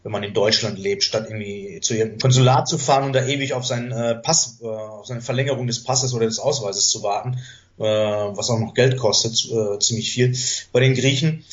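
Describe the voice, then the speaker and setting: A man's voice: medium pitch, steady tone, fairly quick. A man in his fifties, born and raised in Germany with Greek as his mother tongue, telling his life story as a podcast guest in a rambling way, full of hesitations and self-corrections. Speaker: podcast guest, chess master. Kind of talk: casual conversational storytelling. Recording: dull lo-fi podcast audio.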